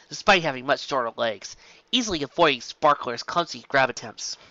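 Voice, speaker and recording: Bright, lively female story narrator. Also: a bass voice, dry recording, muffled recording.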